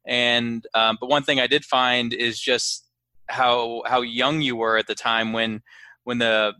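A man's voice: mid-range voice, little pitch movement, takes it slowly, sharp tone, clean tone, no echo. A man hosting a podcast interview in casual chat, phrasing his introductions and questions loosely and a little hesitantly. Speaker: podcast host.

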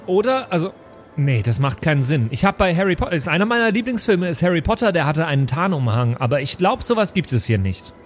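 A man playing a naive, trusting, well-meaning fellow in an improvised tabletop game, speaking haltingly and earnestly. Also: fairly high pitch, sing-song, faint music behind. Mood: earnest, puzzled.

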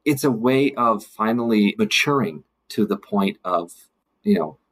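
A man with a lowish, slightly rough-edged voice talks as a podcast guest. He is reflective and halting, setting out his view thoughtfully and pausing to stress key words. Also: animated, fairly bright tone. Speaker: podcast guest, city council member.

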